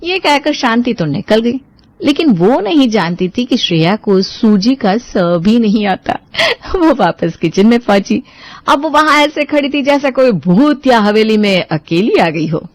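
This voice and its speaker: A woman's voice: fairly high pitch, very animated, a little slow, no echo. A woman reading out a scripted story as its narrator.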